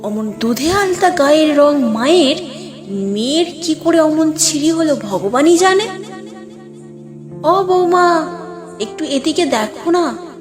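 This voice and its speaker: A woman voicing a scolding, blunt old grandmother in a dramatic story, with a sharp, complaining manner. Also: high voice, lively intonation, slow, pauses often, music in the background, pitched above the performer's own voice. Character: scolding old grandmother, blunt, fretting over dark skin.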